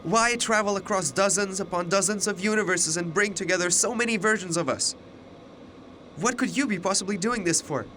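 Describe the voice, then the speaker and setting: A man's voice: sits high, fairly quick, pushed and bright, slight background noise. A young man acting in a radio drama as a defiant, skeptical student, agitated and incredulous. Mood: incredulous, anxious. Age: young adult.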